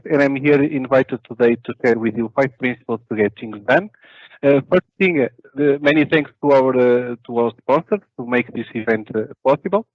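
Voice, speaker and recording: Portuguese man giving a halting talk. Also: medium pitch, no room sound. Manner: halting, many filler uhs and restarts. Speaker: conference speaker. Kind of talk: online conference talk. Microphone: video call webcam. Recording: dull dry webcam audio.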